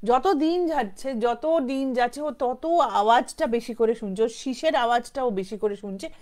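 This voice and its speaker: Higher-pitched woman, informal Bengali-accented storyteller. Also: moderate pace, darkish tone. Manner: conversational, mixes English phrases into Bengali. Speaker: storyteller, show host. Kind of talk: informal spoken horror storytelling, unscripted.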